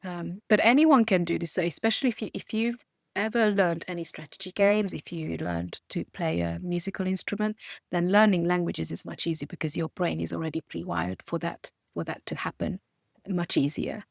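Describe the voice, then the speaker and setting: A woman's voice: fairly low pitch, steady tone, normal speed, vocal fry, no echo, clean studio sound. A woman in her forties from Romania and Hungary, a native Hungarian speaker, talking animatedly as an interview guest, often restarting her sentences. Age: 40s. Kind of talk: conversational interview.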